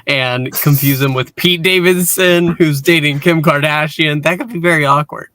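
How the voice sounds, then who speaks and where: A man co-hosting a casual fan discussion, talking earnestly and haltingly, often repeating words as he thinks. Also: fairly high pitch, steady tone, sharp tone, no room sound, clean studio sound. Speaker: podcast co-host.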